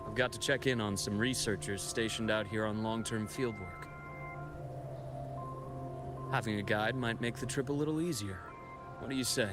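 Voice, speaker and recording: Calm male doctor-guide for a game, low register, measured and explanatory male actor. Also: music in the background, lightly acted. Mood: helpful, easygoing. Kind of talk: video game voice acting, explanatory storytelling.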